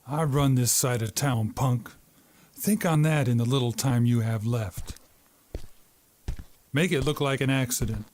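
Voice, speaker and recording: A man's voice: mid-range voice, measured pace, long pauses, darkish tone, lightly acted. An actor playing a menacing, arrogant gangster boss in a 1950s noir radio drama, with a sneering, threatening tough-guy delivery.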